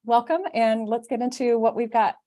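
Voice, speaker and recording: A woman hosting a live online Q&A, talking in an animated, rambling, self-deprecating way. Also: a little high, normal speed. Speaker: host.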